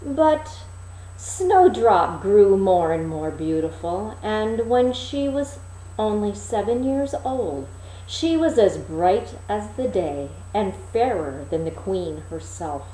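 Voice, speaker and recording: A woman's voice: mid-range voice, expressive, slow pace, mellow, slight background noise. A woman reading a fairy tale aloud expressively as a storyteller. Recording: echoey room.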